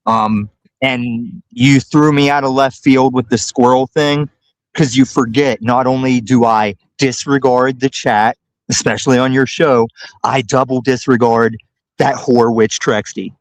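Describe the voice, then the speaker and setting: Combative male caller, deliberate and profane, dead-dry sound. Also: medium pitch, steady tone, no room sound, low-quality recording. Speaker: call-in guest. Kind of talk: casual chat.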